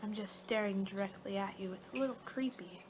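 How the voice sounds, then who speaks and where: Female ASMR performer as a laid-back vampire guide: mid-pitched, soft to whispery, rambling delivery, noticeably noisy recording. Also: steady tone. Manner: rambling, halting, losing her train of thought. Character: young-for-her-kind female vampire guiding a client, casual, a little exasperated. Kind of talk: soft-spoken ASMR roleplay, partly whispered.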